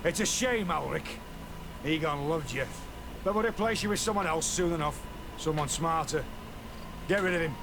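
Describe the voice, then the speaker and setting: An actor playing a menacing gang captain in a video game, haughty, sneering and full of cold contempt. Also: male voice, a little high, normal speed, pauses often, noisy background, lightly acted.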